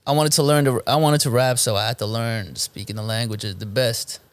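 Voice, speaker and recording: A man in his late twenties from Belgium, a native Moroccan Arabic speaker, talking casually as a rapper guest in an interview, with a relaxed, halting delivery full of ums. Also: mid-range voice, no room sound. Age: 20s. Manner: relaxed, hesitant with frequent ums and restarts.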